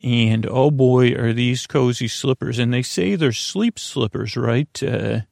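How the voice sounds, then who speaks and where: A man hosting a bedtime podcast in a meandering, halting monologue full of trailing asides, with a creaky, gravelly voice. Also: mid-range voice, darkish tone, no echo, clean studio sound. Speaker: podcast host.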